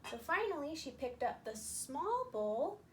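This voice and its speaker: A woman with a high voice reads a story for small children. She speaks slowly, repeating and stressing simple phrases so little listeners can follow. There is some noise behind her. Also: big pitch swings. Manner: slow, repetitive, emphatic, explaining to small children. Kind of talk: story time for young children, narrating with puppets.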